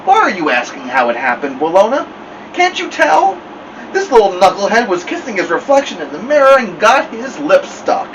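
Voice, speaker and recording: A man's voice: high-pitched, wildly swooping pitch, normal speed, fairly bright tone, recorded from a distance, dull audio, slight background noise, pitched above the performer's own voice, full character voice. A man voicing an amused, teasing father in his thirties in a story reading.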